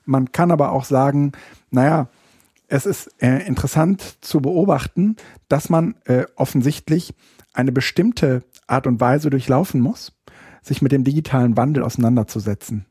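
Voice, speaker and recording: A man co-hosts a casual podcast, thinking aloud in long, winding sentences full of fillers and restarts. His voice is mellow and breathy-husky. The sound is dry and close. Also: medium pitch, normal speed, dry recording. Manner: hesitant, many fillers and restarts. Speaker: podcast co-host. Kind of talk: casual chat.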